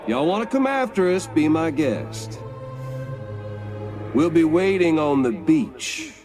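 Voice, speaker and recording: An actor playing a swaggering, vengeful game villain with a taunting, theatrical drawl and breathy whispers. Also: male voice, a little high, expressive, slow pace, music in the background. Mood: gloating menace. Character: swaggering, vengeful male villain bent on humanity's extinction. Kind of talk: dramatic video game cutscene acting.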